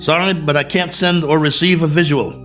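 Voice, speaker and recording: An actor playing a stranded, injured astronaut colonel in a radio drama, weary and stubborn, with terse, sardonic delivery. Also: male voice, on the high side, fairly even intonation, brisk, natural voice. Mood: wry, matter-of-fact. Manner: terse, sardonic, clipped reporting. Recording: radio drama with music underneath.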